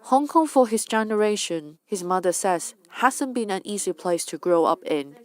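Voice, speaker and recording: A woman news reporter narrating her report in a steady, storytelling way. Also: mid-range voice, normal speed. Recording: faint music underneath.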